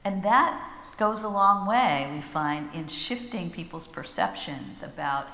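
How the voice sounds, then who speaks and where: A woman speaking as a panelist, explaining policy in a measured way with frequent fillers. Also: on the low side, sharp tone. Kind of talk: panel discussion. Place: large conference hall. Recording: roomy hall reverb, faint ambience.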